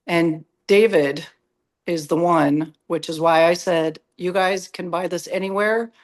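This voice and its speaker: A co-host with a raspy voice chiming in candidly during a coaching webinar. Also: medium pitch, steady tone, measured pace. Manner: candid, teasing asides. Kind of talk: casual chat in a webinar.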